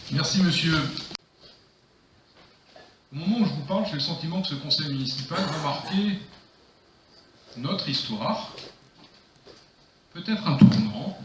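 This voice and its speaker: A man's voice: on the high side, distant mic. A man speaking as a mayor, giving an improvised political rebuttal in an emphatic, declamatory way with rhetorical repetition. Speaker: mayor chairing the council. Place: council meeting room. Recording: echoey room, faint noise, clicks.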